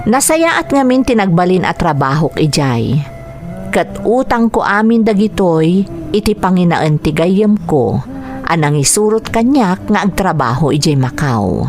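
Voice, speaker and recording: A woman's voice: lowish voice, very animated, measured pace, a little mellow, soft background music. A woman with an Ilocano accent playing a homesick, family-minded young overseas worker in a radio drama. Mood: earnest.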